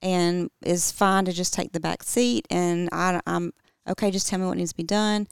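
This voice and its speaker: A middle-aged woman co-hosting a podcast with her husband, soft-spoken and affirming. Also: fairly low pitch, fairly even intonation, normal speed, vocal fry, clean tone, dry recording, clean studio sound. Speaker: podcast co-host. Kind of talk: casual conversation with spouse.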